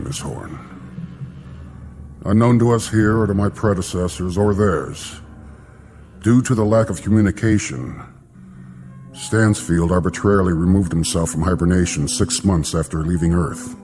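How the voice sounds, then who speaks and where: Man playing solemn mission official, low register, measured, grave, formal, vintage television acting, prominent music behind. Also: darkish tone, natural voice. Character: solemn male space-program official, compassionate and formal. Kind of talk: mid-century television drama acting. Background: dramatic music.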